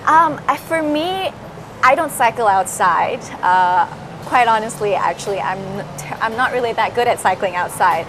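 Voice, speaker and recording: A woman's voice: a little high, lively intonation, normal speed, background noise. A woman from Singapore, a fitness instructor, explaining her classes in an interview with many "uh" fillers. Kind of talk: interview answers.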